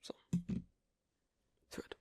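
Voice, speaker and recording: An actor voicing an asocial, awkward but patient werewolf in an ASMR roleplay, speaking softly in halting, clipped phrases with a breathy, gravelly voice. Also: full character voice. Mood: patient, instructing. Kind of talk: ASMR roleplay, soft close speech.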